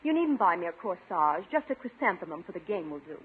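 A woman playing a coy, genteel sweetheart in a 1950s radio sitcom, politely wheedling in a husky voice. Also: on the low side, sing-song, quick pace, a little hiss or hum. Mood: coy, sweet. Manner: coy, polite, gently manipulative. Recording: dull, muffled 1950s radio recording.

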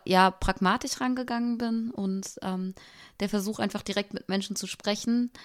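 Young female co-host with an even, level tone, musing casually and pausing to find her words. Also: mid-range voice, smooth. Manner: reflective, searching for words. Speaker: podcast co-host. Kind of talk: casual conversation.

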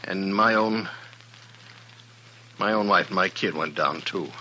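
An actor playing a lonely, grieving drifter who was once a ship's skipper, speaking in a folksy, halting, stubborn way in old-time radio western style. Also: male voice, low-pitched, pushed and bright, heavily acted. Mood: haunted, confessional. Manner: folksy, rustic phrasing with halting confessions. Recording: noisy vintage radio drama recording.

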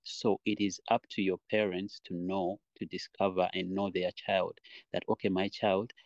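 A young man from Uganda talks through his thoughts in a podcast interview, with a very low voice. He rambles reflectively and fills pauses with "you know". The sound is dry and a bit dull. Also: flat delivery, no room sound. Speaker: podcast guest, dancer and choreographer. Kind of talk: casual interview talk.

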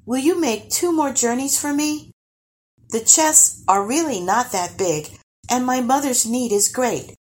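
A woman's voice: a little high, lively intonation, unhurried, a little mellow, voice pushed up. A woman voicing a clever, bold young girl in a storybook, speaking in a sweet, wheedling sing-song. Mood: sweetly coaxing. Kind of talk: storybook character voice.

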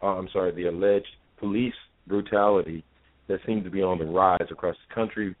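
A man with a very low voice calls in to a discussion show. He speaks formally and with care, pausing over his phrases. The phone line is narrow and muffled. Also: steady tone. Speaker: caller, university professor. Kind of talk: earnest live call-in discussion. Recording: dull narrow-band phone call.

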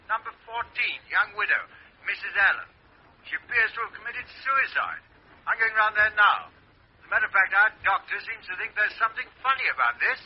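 An actor with an English accent playing a police chief calling on the telephone in a 1950s radio drama. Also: male voice, high-pitched, animated, fairly quick, slightly rough. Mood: urgent. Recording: muffled old radio recording.

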